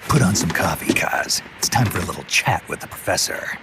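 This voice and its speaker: Casting: a weary legendary mercenary commander, game drama, low gravelly growl, terse and guarded. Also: male voice, animated, brisk, heavy background noise. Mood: suspicious, gruff. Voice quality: gruff, gravelly rasp. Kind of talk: video game cutscene voice acting.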